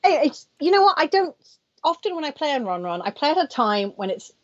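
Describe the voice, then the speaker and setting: A woman's voice: sits high, sing-song, brisk. A woman, a professional mahjong player co-hosting a casual stream, chatty and self-deprecating. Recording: dull lo-fi stream audio.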